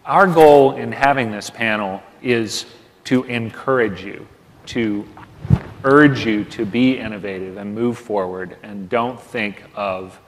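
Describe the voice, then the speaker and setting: A man moderating a conference panel, speaking deliberately with pauses and fillers. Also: fairly low pitch. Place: large conference hall stage. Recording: long hall reverb.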